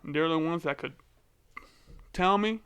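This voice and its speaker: A man's voice: fairly high pitch, unhurried, fairly bright tone, clear, resonant tone. A young man with a stutter telling stories as a guest in a casual podcast chat, often repeating words.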